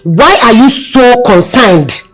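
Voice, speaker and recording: A woman's voice: fairly high pitch, slow pace, soft background music. A woman with a Nigerian accent playing a middle-aged village mother who questions and chides her daughters in a film drama. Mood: suspicious. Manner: questioning, expressive interjections. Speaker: actor.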